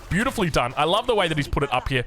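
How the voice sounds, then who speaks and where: Lively, fast-talking Australian male game caster. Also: a little high. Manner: chatty, enthusiastic, self-correcting. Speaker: esports caster of a strategy game. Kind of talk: live game commentary.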